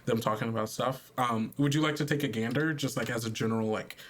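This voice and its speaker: A man running a tabletop roleplaying game as game master, narrating in a halting, conversational way with pauses. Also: medium pitch, a little hiss or hum.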